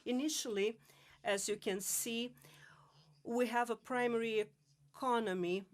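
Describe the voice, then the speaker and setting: A woman giving a live interpretation of a conference presentation, measured and halting. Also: fairly high pitch, steady tone. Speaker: simultaneous interpreter.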